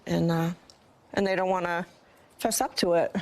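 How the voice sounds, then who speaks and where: Older American female interviewee, low gravelly voice, speaking personally about her experience. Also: fairly even intonation, normal speed, darkish tone, rough recording. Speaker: patient interviewee.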